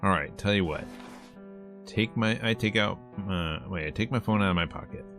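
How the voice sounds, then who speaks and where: A man streaming a visual novel, reading the narration aloud in a conversational, expressive way. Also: deep voice, moderate pace, soft background music. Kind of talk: game let's-play, reading narration aloud.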